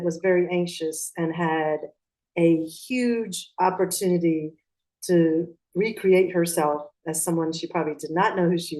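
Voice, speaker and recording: Woman, from Pakistan, raised in Atlanta, interview guest, reflective, restarts sentences, low voice. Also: mellow, poor audio. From Pakistan, raised in Atlanta, Georgia. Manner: reflective, with frequent restarts and fillers. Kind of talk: conversational interview.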